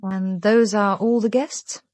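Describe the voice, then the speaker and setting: An actress playing a cool, businesslike British investigator with a husky voice in a radio drama. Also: female voice, medium pitch, steady tone, takes it slowly, darkish tone, dry recording, natural voice. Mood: businesslike, probing. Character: cool, businesslike female investigator questioning a client. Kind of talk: full-cast radio drama acting.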